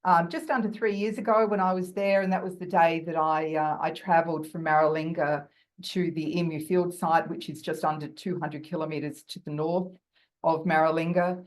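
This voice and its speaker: A woman with a croaky voice giving an academic talk over a video call, thoughtful and full of fillers and restarts. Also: sits low, fairly even intonation, moderate pace. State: croaky from bronchitis. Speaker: guest lecturer.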